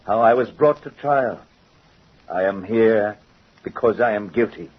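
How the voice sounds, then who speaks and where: A man's voice: lowish voice, slightly rough. An actor playing a guilt-ridden craftsman confessing to a judge, earnest and dramatic, in old-time radio style. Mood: sorrowful, resigned. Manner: dramatic, earnest, deliberate phrasing. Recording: muffled old radio recording.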